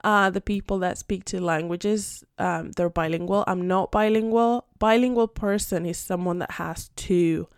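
A woman's voice: fairly low pitch, fairly even intonation, normal speed, hardly any pauses, clear, resonant tone, no echo, studio quality. A young woman from Spain, a native Spanish speaker, chatting casually and a bit rambling on her podcast, full of fillers. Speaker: podcast host and English teacher. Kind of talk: casual solo podcast chat.

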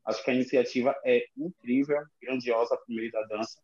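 A man's voice: medium pitch, fairly even intonation, fairly quick, darkish tone. A man with a Bahian Brazilian accent, casually introducing himself as a guest dancer. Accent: Bahian Brazilian Portuguese. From Lauro de Freitas, Bahia, Brazil. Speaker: guest, dancer and company director. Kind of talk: casual spoken introduction in an online conversation. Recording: dull lo-fi online audio.

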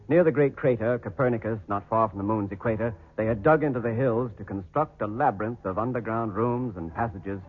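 An actor narrating a 1950s space serial in a measured, solemn voice. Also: male voice, fairly low pitch, slightly rough. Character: grave male narrator recalling a space disaster. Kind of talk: scripted radio serial narration. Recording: muffled vintage radio broadcast.

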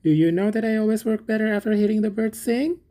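A man's voice: sits high, fast, mellow, voice pushed up, lightly acted. A man voicing a timid, naive little gardener in a storybook, shy and eager to please. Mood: sleepy, apologetic. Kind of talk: storybook character voice.

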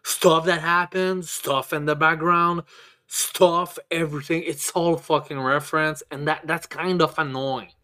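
Man, slightly high pitch, frequent stammering and repetition, blunt, sweary, casual podcast movie review. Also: fairly even intonation, measured pace, bright, forceful tone. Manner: stammering, repeats words and restarts often. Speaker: podcast co-host.

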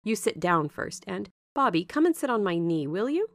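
A woman voicing a chatty, warm-hearted young married servant woman in a storybook, with a lively, familiar, gossiping manner. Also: mid-range voice, normal speed, no room sound, studio quality. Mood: cheerful. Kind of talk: storybook character dialogue.

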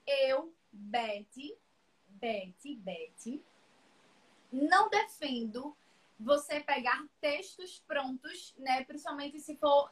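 A woman's voice: sits high, a bit bright, poor audio. A woman teaching essay writing on a live stream, chatty and reassuring, calling viewers by name. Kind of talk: live-streamed class, casual chat.

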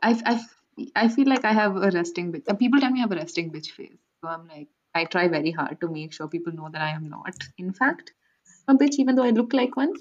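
A woman's voice: lowish voice, expressive, fast talker. Young indian woman on a podcast, joking about herself, sounds kinda muffled. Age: 20s. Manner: laughs often, self-deprecating. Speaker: podcast guest. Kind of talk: casual chat. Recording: dull lo-fi audio.

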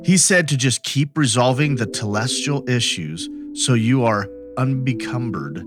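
A man's voice: mid-range voice, expressive, unhurried, natural voice. A man playing a devout, adoring mystic lover in a theatrical dramatic reading, grave and melodramatic. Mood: solemn, reassuring. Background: music.

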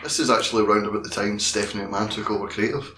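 A man's voice: lowish voice, steady tone, rapid-fire, pushed and bright. A man co-hosting a casual podcast chat, joking and laughing often as he rambles. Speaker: podcast co-host. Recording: echoey room with background noise.

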